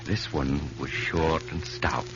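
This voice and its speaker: Extremely deep ominous narrator. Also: male voice, steady tone, slow. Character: ominous storyteller of a murder mystery. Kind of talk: scripted 1940s radio thriller narration. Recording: muffled old radio, loud music underneath.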